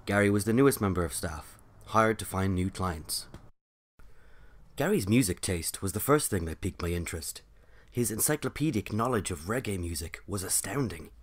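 A man's voice: low-pitched, lively intonation, moderate pace. A man hosting and narrating a story podcast, reading from a script.

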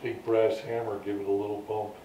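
A man's voice: fairly low pitch, flat delivery, fairly quick, crowd noise. A man helping out in a garage, chatting casually with a wry, teasing manner. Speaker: garage helper. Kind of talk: casual chat while working. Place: garage workshop. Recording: echoey, distant mic, loud background noise.

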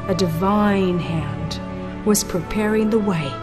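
A woman narrating a dramatized audiobook of religious history in a solemn, measured manner with deliberate phrasing. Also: medium pitch. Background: background music.

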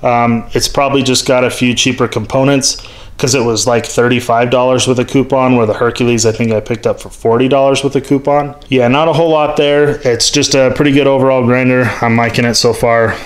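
Male tool reviewer, casual voice-over, mid pitch, rambly and candid. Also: flat delivery, a little fast. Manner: rambling, frank, lots of ums and restarts. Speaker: workshop YouTuber reviewing tools. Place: metal-walled home workshop.

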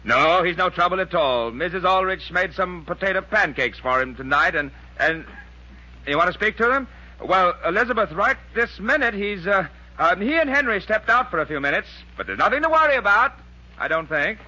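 A man's voice: sits high, lively intonation, moderate pace, sharp tone, full character voice. An actor playing a fretful, grumbling middle-aged father with an American accent in a 1940s radio sitcom. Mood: flustered. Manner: grumbling, exasperated. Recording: muffled, hissy old radio recording.

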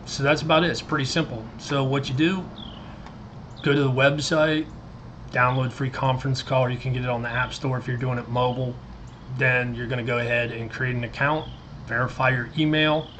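A middle-aged American man giving a casual, explanatory screen-share software tutorial. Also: medium pitch, fairly even intonation, fairly quick, a little hiss or hum. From United States. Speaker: tutorial presenter. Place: indoors at a computer desk. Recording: loud guitar music behind the voice. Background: loud guitar music.

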